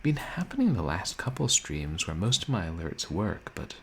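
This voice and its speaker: Deep gravelly soft-spoken man. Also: very animated, moderate pace, a little hiss or hum. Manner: soft-spoken, chuckles often. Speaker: game streamer. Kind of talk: casual let's-play chat. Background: game music.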